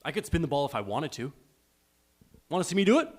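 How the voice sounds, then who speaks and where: A man's voice: a little high, very animated, fast. A man playing a macho, stubborn, childishly insecure bowler in a live comedy table read, boastful and insistent.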